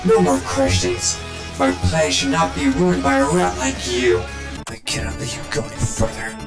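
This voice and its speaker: A man's voice: medium pitch, wildly swooping pitch, moderate pace. A man playing a cold, arrogant villain in a game cutscene, speaking with condescending menace. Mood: scornful. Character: cold, arrogant male villain, scornful and self-assured. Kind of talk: fan game cutscene voice acting. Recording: echoey voice over game music.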